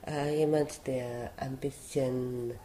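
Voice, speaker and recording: A woman's voice: a bass voice, steady tone, takes it slowly, mellow. A woman giving an informal, considered interview answer about a book. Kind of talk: casual interview answer on literature. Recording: noisy ambient background.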